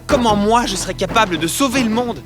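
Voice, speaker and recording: A man as a high-voiced, defiant boy hero. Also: a little fast, lightly acted. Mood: frustrated denial. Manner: cocky, blunt. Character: bold, defiant boy hero, leader of a team. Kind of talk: animated cartoon voice acting. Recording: loud background music.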